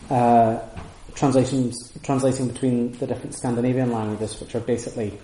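A man lecturing to a small group, talking his way through ideas with frequent restarts and fillers. His voice is mellow and dark. The room is reverberant, with a faint hiss underneath. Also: mid-range voice, level tone, a little fast. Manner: thoughtful, many restarts and hedging fillers. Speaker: lecturer on Sanskrit texts. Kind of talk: informal lecture with audience questions. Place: room with audience.

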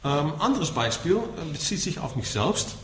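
A man's voice: fairly high pitch, big pitch swings, normal speed. A middle-aged man from the Netherlands with a Dutch accent gives an explanatory talk as a Buddhist teacher, often tagging his points with a short questioning word. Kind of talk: spoken lecture to listeners. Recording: echoey room with faint background noise.

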